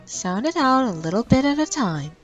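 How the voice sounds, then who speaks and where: A woman voicing a kindly, motherly mare from an orphanage, speaking gently and encouragingly. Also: fairly high pitch, sing-song, moderate pace, highs cut off, faint music behind. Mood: encouraging, proud.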